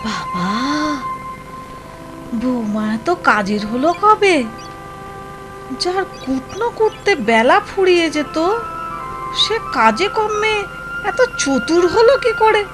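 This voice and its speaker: A woman's voice: extremely high pitch, sing-song, takes it slowly, pauses often, music in the background, heavily acted. A woman voicing a suspicious, fretful elderly village mother-in-law in a children's cartoon, with melodramatic exclamations. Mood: suspicious wondering. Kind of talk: Bengali children's cartoon acting.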